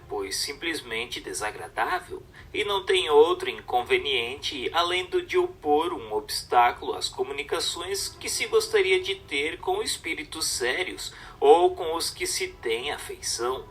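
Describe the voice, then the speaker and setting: A man reads a book as a scripted narration, in a measured, didactic way. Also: mid-range voice, sharp tone. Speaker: audiobook narrator. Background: music.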